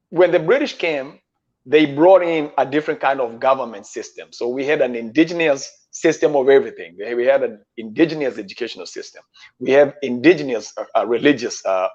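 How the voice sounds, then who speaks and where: A man in his fifties speaks at a middling pitch, slow and deliberate, with frequent pauses. His voice comes through a dull, lo-fi call line. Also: darkish tone. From Kenya. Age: 50s. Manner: measured, halting, with frequent "uh" pauses. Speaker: guest chef. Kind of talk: storytelling in a stream interview. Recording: dull lo-fi stream audio.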